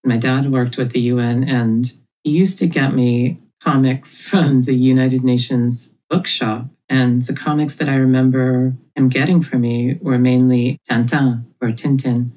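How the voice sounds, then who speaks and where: Woman, comic creator guest, husky voice, thoughtful, laughs often, casual podcast chat. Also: extremely low pitch, steady tone, unhurried, hardly any pauses, mellow, poor audio. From Ethiopia.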